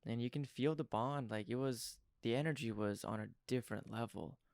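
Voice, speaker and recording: A young adult man hosting a podcast interview, talking in an earnest, effusive way with a voice worn hoarse. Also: mid-range voice, brisk, a little mellow, no room sound, studio quality. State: partly lost voice. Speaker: podcast host. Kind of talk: casual interview chat.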